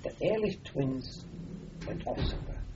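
A man's voice: mid-range voice, sing-song, unhurried, background noise. An older man from Austria, a native German speaker, telling a rambling oral-history story as an interviewee, with many fillers and restarts. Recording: dull lo-fi recording.

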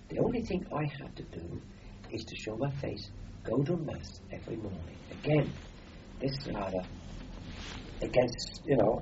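A man's voice: medium pitch, creaky, mellow, a little hiss or hum. An older man from Austria, a native German speaker, telling a rambling oral-history story as an interviewee, with many fillers and restarts. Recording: dull lo-fi recording.

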